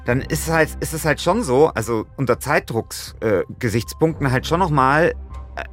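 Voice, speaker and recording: A man's voice: medium pitch, expressive, normal speed. A man in a casual, unscripted discussion speaks colloquially and presses his point. His voice sits in the middle range, with light music underneath. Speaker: crime audio drama writer.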